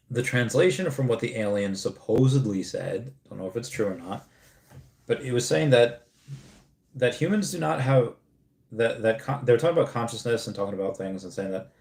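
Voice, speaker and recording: A man's voice: mid-range voice, vocal fry, darkish tone. Rambling man in an echoey room. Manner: rambling, many restarts, laughs at comments. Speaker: streamer host. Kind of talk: casual livestream chat reading comments.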